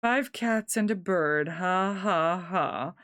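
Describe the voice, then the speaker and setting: A reader voicing a gloating alien villain, slow, mocking and cruelly amused. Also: mid-range voice, fairly bright tone, clear, resonant tone, no echo, clean studio sound, voice pushed up. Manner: slow, mocking, with deliberate cackling.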